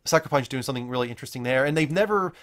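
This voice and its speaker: A man's voice: mid-range voice, lively intonation, rapid-fire, a bit bright. Man casually hosting a gaming panel. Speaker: show host leading a panel. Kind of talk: casual video game panel chat.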